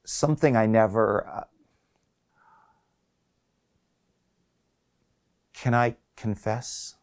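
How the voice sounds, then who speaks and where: Man acting out an indignant, halting older man. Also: lowish voice, a little mellow. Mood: hesitant, pleading. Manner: blustering, halting. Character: indignant, desperate older man pleading with a bureaucrat. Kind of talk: acted short story dialogue.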